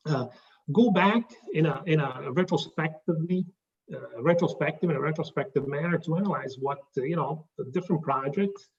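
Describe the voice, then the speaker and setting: A man with an Italian accent giving a pitch presentation, talking haltingly with many fillers and restarts. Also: vocal fry, distant mic, dull audio. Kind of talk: pitch presentation to a live audience.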